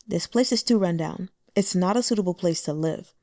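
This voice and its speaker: A woman reading aloud and voicing a conflicted young man who speaks haltingly and dully. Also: lowish voice, mellow, no echo, studio quality, lightly acted. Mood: dull. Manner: halting and dull. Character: guilt-torn young male cultivator, infatuated.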